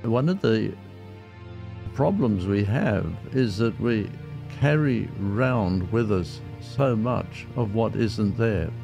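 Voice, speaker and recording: A man leading a guided meditation in a measured, reflective manner with frequent pauses. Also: on the low side, animated, vocal fry, music in the background.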